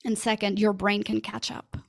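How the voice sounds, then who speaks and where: A woman's voice: mid-range voice, flat delivery, normal speed, vocal fry. A woman whose first language is Portuguese, a podcast producer talking eagerly in long run-on stretches as an interview guest. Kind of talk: casual interview chat.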